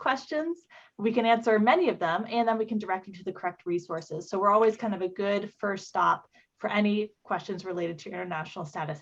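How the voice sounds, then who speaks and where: A woman introduces herself as a student advisor on a video panel. Her voice is mid-pitched, friendly and fluent. The audio is a bit muffled. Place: video call. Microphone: video call.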